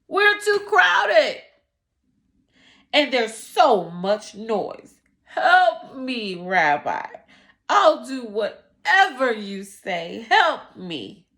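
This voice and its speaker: A woman voicing a desperate, unfortunate poor village man in a storybook, crying out loudly and pleading for help. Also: fairly high pitch, sing-song, very slow, voice pushed up, full character voice. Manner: loud, pleading cries. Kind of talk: storybook read-aloud.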